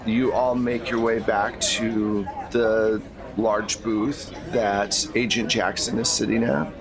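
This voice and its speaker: A man's voice: lowish voice, fairly even intonation, takes it slowly, over music. A man running a tabletop game as game master, moving between casual table talk and narration.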